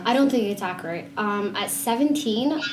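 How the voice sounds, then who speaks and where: A woman's voice: medium pitch, expressive, moderate pace. A woman from Canada speaking as a panelist in a casual discussion, explaining from personal experience with a casual "like" here and there. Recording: echoey room with noticeable noise.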